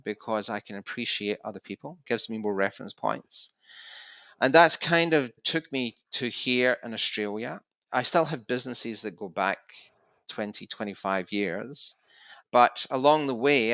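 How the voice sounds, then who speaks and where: A Scottish man in his fifties speaks as a podcast guest, chatty and easygoing as he tells his story. His voice is bright and forceful yet sits a little low, with a dry, close sound. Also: no room sound. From Scotland. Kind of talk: casual interview storytelling.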